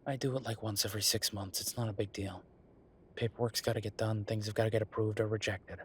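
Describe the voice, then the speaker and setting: A man playing an easygoing, affectionate werewolf bureaucrat in an intimate boyfriend roleplay audio, talking casually and haltingly with a husky voice. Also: lowish voice, flat delivery, slight background noise, natural voice. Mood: caring, reassuring.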